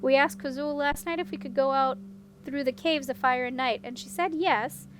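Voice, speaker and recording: A woman's voice: sits high, moderate pace, slight background noise, lightly acted. A woman voicing an assured, practical young woman in a storybook. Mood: cheerful. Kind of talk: storybook character reading.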